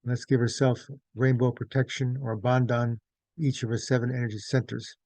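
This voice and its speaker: A man leading a guided meditation as instructor, speaking in measured, gentle phrases with pauses. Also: mid-range voice, level tone, dry recording.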